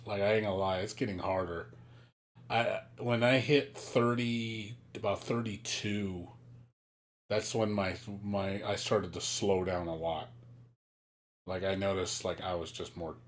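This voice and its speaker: A man's voice: sits low, steady tone, a little slow. A man in his late thirties, talking to viewers on his live stream in a candid, rambling way. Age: late 30s. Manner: candid, rambling, self-critical.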